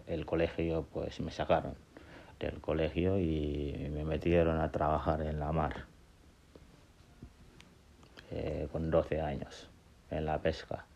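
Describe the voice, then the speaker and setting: Man from Gambia, very deep flat voice, slow halting speech, dull lo-fi recording with faint hiss. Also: gravelly. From Gambia and Senegal. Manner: halting, many fillers and restarts. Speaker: podcast host telling his life story. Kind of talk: casual personal monologue. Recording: dull lo-fi sound with faint noise.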